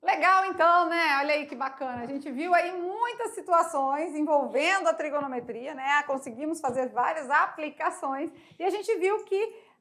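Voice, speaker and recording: A woman's voice: high voice, lively intonation, normal speed, a bit bright. A woman teaching a televised math lesson, upbeat and checking in with her students through frequent tag questions.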